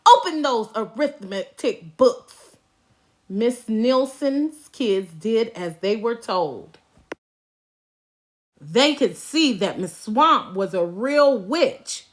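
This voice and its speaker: A woman narrating a children's story aloud as an expressive storybook reader. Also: on the high side, extremely slow.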